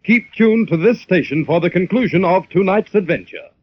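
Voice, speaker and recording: A man's voice: high voice, moderate pace. A man announcing and narrating a radio thriller in a dramatic 1940s style. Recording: muffled, hissy old radio recording.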